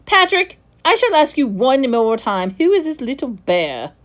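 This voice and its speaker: A woman's voice: high voice, sing-song, unhurried, a bit bright, pitched above the performer's own voice, heavily acted. A woman voicing a stern middle-aged male school headmaster who speaks in a commanding, clipped way.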